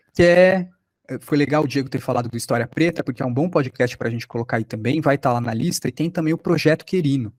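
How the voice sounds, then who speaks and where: A man's voice: on the high side, no room sound. A man with a Brazilian accent giving an informal online lecture as a workshop instructor.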